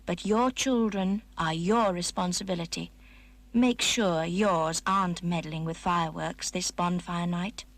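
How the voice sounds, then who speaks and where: A woman's voice: lowish voice, fairly even intonation, a little slow. A British woman delivering a stern, scripted voice-over warning for a public safety film. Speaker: announcer.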